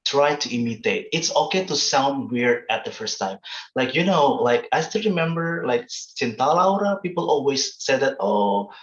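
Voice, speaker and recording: A man's voice: mid-range voice, animated, moderate pace. The sound is roomy and slightly dull, like an online call. A man with an Indonesian accent explains things informally as a presenter, in a conversational way that often seeks agreement. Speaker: webinar presenter. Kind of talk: casual explanatory online talk with examples.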